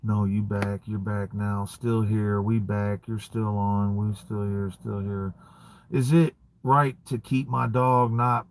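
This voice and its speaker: A man's voice: on the low side, little pitch movement, slow pace, slight background noise. A man in his late thirties, a dog breeder hosting a casual livestream and answering viewer comments in laid-back street slang. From United States. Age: 30s.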